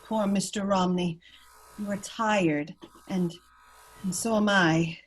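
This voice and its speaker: A woman playing an elegant, restless society wife with a husky voice, polite and expressive in a drama reading. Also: medium pitch, takes it slowly, low-quality recording. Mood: polite, reserved. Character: elegant, restless lady, neglected wife of a gentleman.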